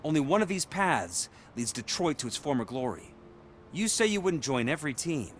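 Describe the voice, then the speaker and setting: An actor playing a persuasive city power broker in a video game, with a deliberate, speech-making manner. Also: male voice, a little high, big pitch swings, slight background noise. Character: smooth-talking, persuasive city power broker, adult male.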